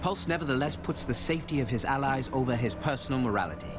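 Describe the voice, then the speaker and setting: Military director played by a man for a shooter game: formal, deliberate, scripted, mid-pitched with animated inflection. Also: close to an everyday voice. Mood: measured, formal. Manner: formal, measured, deliberate delivery. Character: male special-forces director, measured, formal, appraising his operatives. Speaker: game announcer introducing operators. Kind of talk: scripted narration. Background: music.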